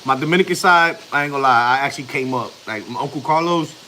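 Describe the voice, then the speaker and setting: A man's voice: mid-range voice, fast, bright, forceful tone, slight background noise. A man in his thirties, a family vlogger, chatting casually on a live stream in slang, rambling and chatty. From United States. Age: 30s.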